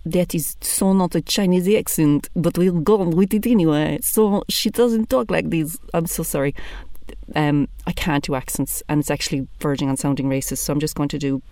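A woman's voice: deep voice, moderate pace, mellow, no echo. A woman in her thirties with an Irish accent, doing a chatty, blunt stand-up monologue. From Ireland. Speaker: comedian.

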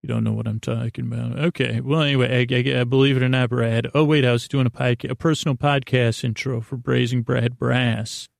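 Male podcast host, creaky voice, dry close sound, halting, meandering, soothing bedtime rambling. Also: medium pitch, fairly even intonation, no echo. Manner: halting, meandering, self-interrupting, with trailing repetitions; unhurried, lulling delivery. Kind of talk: rambling improvised sleep-podcast intro monologue.

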